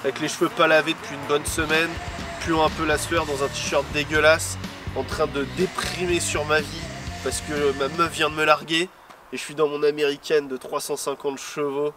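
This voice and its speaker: A man co-hosting a casual chat about cars and music, relaxed and joking, rambling with many hesitations. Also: on the high side, normal speed. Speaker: co-host. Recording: distant mic with faint outdoor noise.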